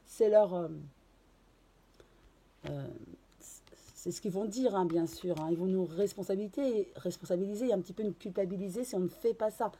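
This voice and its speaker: Hoarse woman with a cold. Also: medium pitch, moderate pace, long pauses, mellow. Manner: hesitant, many fillers and restarts. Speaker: card reader and channeler. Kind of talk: casual tarot reading to camera.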